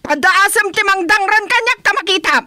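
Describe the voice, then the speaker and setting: Shrill, bright-voiced Ilocano woman. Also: moderate pace. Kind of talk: radio drama acting.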